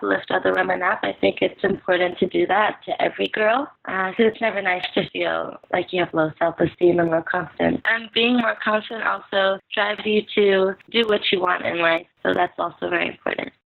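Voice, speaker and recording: Soft-spoken woman from the Philippines over a phone line. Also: mid-range voice, steady tone, moderate pace, creaky, hardly any pauses. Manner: soft-spoken, hesitant with many fillers and restarts. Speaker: podcast guest. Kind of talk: casual interview answers. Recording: tinny phone-line sound.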